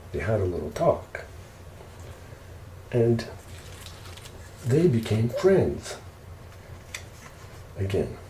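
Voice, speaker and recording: A man telling casual ASMR stories in an unhurried, rambling way. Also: fairly low pitch, dark tone. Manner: unhurried, rambling family reminiscing. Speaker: ASMR storyteller. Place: indoors, roomy space while preparing food. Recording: roomy echo, faint background noise.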